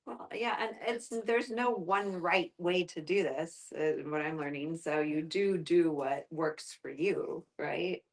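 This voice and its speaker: A woman's voice: low-pitched, slow, hardly any pauses. A woman talking informally in a group discussion, with an encouraging manner. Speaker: discussion participant.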